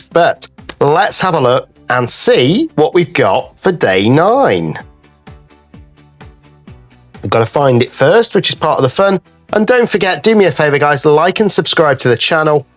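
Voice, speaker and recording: A man hosting a craft video in a chatty, casual way. His voice is a little croaky with a cold and rises and falls in a lively way. Music plays behind him. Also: mid-range voice. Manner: chatty, addresses viewers as guys. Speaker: craft channel host. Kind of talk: casual craft tutorial chat.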